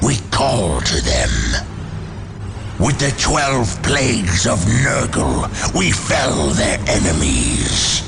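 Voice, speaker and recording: A menacing dark sorcerer chants solemnly in a low, gravelly game-villain voice. His pitch rises and falls dramatically at a slow pace, over loud music. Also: heavily acted. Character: sinister male chaos sorcerer chanting a dark ritual. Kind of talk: theatrical video game villain acting.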